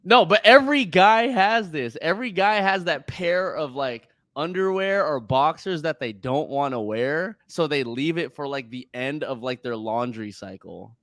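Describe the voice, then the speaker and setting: Lively, joking young man. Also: a little high, normal speed, hardly any pauses, dry recording, studio quality. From United States. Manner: playful, laughs often, rambling with fillers. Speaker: podcast co-host. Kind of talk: casual joking chat.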